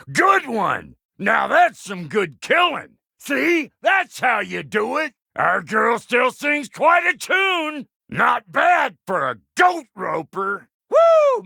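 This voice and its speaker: A man voicing a gruff, foul-mouthed dwarf blacksmith in a video game, with a gravelly drawl and a brash, folksy manner. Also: very high voice, sharp tone, no room sound, clean studio sound, heavily acted. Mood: rowdy, pleased. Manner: brash, folksy, cussing, barking warnings and taunts. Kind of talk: video game character voice lines.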